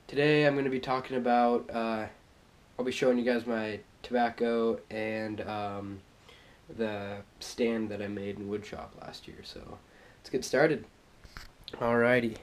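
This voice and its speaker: Young man, head cold, stuffy nose, unhurried halting delivery, filmed at home on an action camera. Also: mid-range voice, a little mellow. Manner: halting, many fillers and restarts. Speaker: vlogger. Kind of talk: casual show-and-tell vlog. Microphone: action camera on a tripod.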